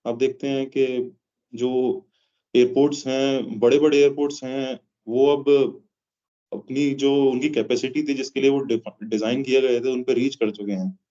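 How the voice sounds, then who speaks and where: Mellow-voiced Indian man, dull recording. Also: mid-range voice, level tone, normal speed. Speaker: interview guest, aviation professional. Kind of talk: explanatory interview answers in Hindi mixed with English. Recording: dull, lo-fi sound.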